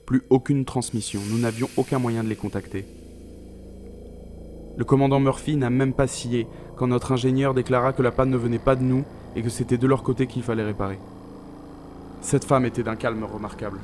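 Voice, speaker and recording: Male, mid-pitched and mellow: actor as a haunted spaceship scientist narrating a sci-fi audio drama, grave and deliberate, faint noise behind. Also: steady tone, natural voice. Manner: grave, deliberate, weighted pauses. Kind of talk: scripted dramatic first-person narration.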